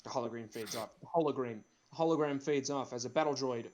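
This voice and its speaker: A man's voice: mid-range voice, quick pace, a little mellow, slight background noise. A man narrating screenplay directions in a casual group read, dropping in amused asides.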